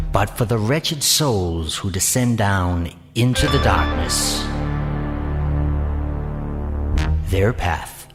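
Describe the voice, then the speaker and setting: Theatrical, ominous horror host, loud music. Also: male voice, on the low side. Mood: grave, ominous. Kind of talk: scripted horror anthology narration.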